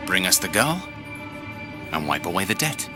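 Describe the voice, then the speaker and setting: An actor playing a measured, detached emissary who ominously collects a debt in a video game drama. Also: male voice, sits low, very animated. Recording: loud ominous music over the voice.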